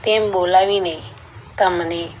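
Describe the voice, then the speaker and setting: A woman's voice: fairly low pitch, steady tone, takes it slowly, a little mellow, slight background noise, rough recording. A woman reading a scripted first-person story as a narrator.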